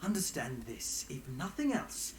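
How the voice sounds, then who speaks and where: An actor playing a sly, glib bard and underworld agent in a video game, with a flamboyant, teasing and florid delivery. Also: male voice, mid-range voice, sing-song, unhurried, a little hiss or hum. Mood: smooth, mocking. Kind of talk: scripted video game acting.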